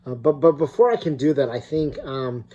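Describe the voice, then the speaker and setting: A man's voice: medium pitch, moderate pace, dark tone, lo-fi sound. A middle-aged man from California giving a recorded opening talk as guest speaker, hesitant with many fillers and restarts. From California, United States.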